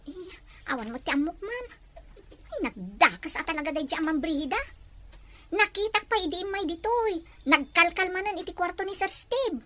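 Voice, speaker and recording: A woman's voice: very high voice, lively intonation, normal speed, pushed and bright, slight background noise, exaggerated voice. A woman acting an agitated, scheming woman in an Ilocano-accented radio melodrama. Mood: gossipy, urgent.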